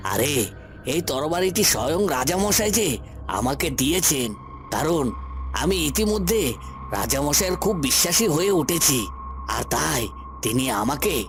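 Man acting a scheming young villain, high-ish voice, music. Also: expressive, normal speed, long pauses. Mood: smug boasting. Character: scheming young villain, a minister's son, smug and murderous. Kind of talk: Bengali cartoon voice acting. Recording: music behind the voice. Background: clear background music.

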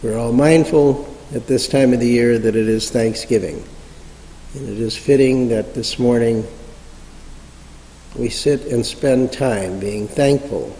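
Guy doing guided prayer meditation, soft dark tone, measured w long pauses, lots of reverb. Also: mid-range voice, a little hiss or hum. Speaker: meditation leader. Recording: reverberant hall sound.

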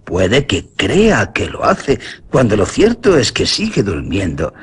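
An actor playing a sceptical, shrewd, insinuating doctor in a radio suspense drama. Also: male voice, lowish voice, huge pitch swings, measured pace, breathy edge, exaggerated voice.

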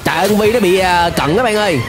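Young male reaction host, Southern Vietnamese accent, high voice, exclamatory, over a loud soundtrack. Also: very fast. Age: young adult. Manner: exclamatory, animated. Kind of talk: excited reaction commentary. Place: indoor room, gaming setup. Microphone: close desk microphone. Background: music and cartoon soundtrack.

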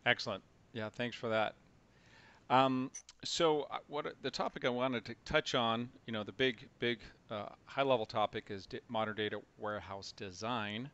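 A man's voice: mid-range voice, normal speed, fairly bright tone, clean tone. A man hosting an interview, asking loose questions full of fillers over a video call. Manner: hesitant, filler-heavy questions. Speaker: host. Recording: slightly dull call audio.

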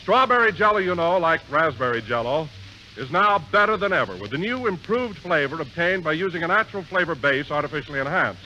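A man announcing a scripted radio commercial in a hearty, enthusiastic pitchman manner. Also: lively intonation, fairly quick, pushed and bright, slight background noise. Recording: muffled 1940s radio broadcast with music.

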